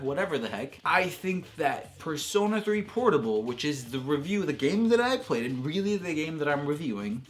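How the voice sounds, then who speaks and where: A young man giving casual, emphatic video-essay commentary on games, with slangy phrasing and drawn-out stressed words. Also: mid-range voice, darkish tone. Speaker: video game reviewer, host. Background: background music.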